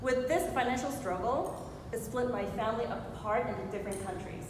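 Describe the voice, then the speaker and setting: A woman in her forties from Hong Kong giving a motivational speech, telling a personal story and putting questions to her audience. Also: a little fast. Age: 40s. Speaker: motivational speaker. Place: large echoing room before an audience. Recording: very echoey hall with clear noise and rumble.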